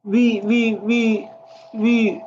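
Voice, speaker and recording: A man's voice: high voice, level tone, very slow, a little mellow. A South African man with a Tswana accent, whose first language is Setswana, giving casual, repetitive reaction commentary. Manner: repetitive, rambling, emphatic. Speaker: online commentator. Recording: dull lo-fi, faint noise.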